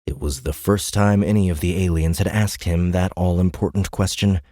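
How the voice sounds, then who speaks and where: A man reading scripted dramatic audiobook narration in a measured, suspenseful manner. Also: low-pitched, fairly even intonation, warm tone, no echo, clean studio sound.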